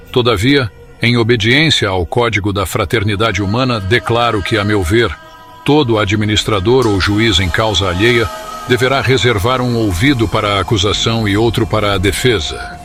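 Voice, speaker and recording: Man playing a low-voiced, calm Roman proconsul. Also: natural voice. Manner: formal, measured, dryly humorous. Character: calm, witty Roman proconsul, an aloof magistrate. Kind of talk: dramatised audiobook dialogue. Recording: music behind the voice.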